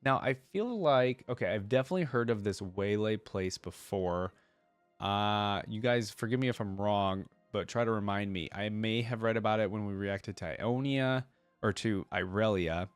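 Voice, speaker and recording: Low-voiced man reading aloud, dry sound. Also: moderate pace, clear, resonant tone, no room sound, faint music behind. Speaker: reaction video host. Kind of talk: reading lore aloud with casual commentary.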